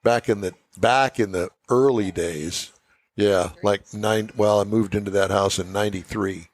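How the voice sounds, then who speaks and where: A man's voice: on the low side, fairly even intonation, unhurried. A middle-aged man hosting a radio show, chatting casually and joking with his guest. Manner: joking, teasing.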